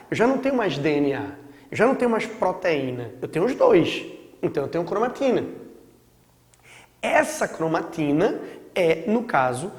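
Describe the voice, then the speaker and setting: A hoarse man with a Brazilian Portuguese accent talks through a biology lesson in a loose, chatty way, often tagging his points with quick check-ins. His voice sits a little high for a man. It rings around a room with long, echoing reverb. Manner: chatty, with frequent check-in tags. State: hoarse from a sore throat. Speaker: biology teacher. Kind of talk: casual recorded lecture.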